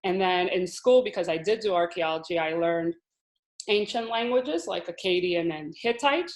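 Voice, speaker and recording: A woman's voice: lowish voice, normal speed. A woman in her thirties, originally from Israel, talking casually as an interview guest about her life, and laughing often. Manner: chatty, laughs often, with restarts. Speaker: Hebrew teacher, guest.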